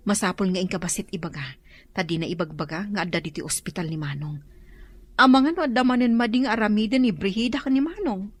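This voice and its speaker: An actress playing a firm, protective sister who gives instructions in an Ilocano radio drama, with an Ilocano accent. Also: female voice, medium pitch, fast talker, husky, dry recording, lightly acted.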